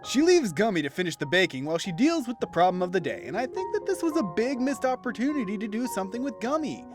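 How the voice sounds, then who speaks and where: A man's voice: high voice, very animated, quick pace. A man narrating a scripted review as host in a theatrical, opinionated manner. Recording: background music behind voice. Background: instrumental music.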